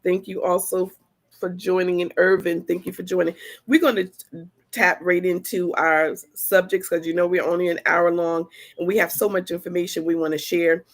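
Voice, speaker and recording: A woman hosting a podcast in a chatty, animated way, a little congested from the flu. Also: lowish voice, normal speed. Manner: chatty, animated storytelling with laughs. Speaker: podcast host. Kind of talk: casual podcast chat.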